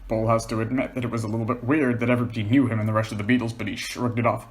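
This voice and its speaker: A man's voice: on the low side, little pitch movement, racing. A man reading scripted fiction aloud as the narrator.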